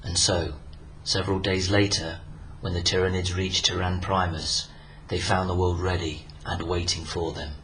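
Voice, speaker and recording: A man narrating a scripted science fiction story in a solemn, measured, dramatic storytelling manner. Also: low-pitched, little pitch movement, a little hiss or hum. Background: loud music.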